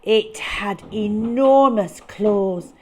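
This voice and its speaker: A woman reading a picture book to young children in a dramatic, expressive way with deliberate phrasing. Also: medium pitch. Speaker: children's storyteller.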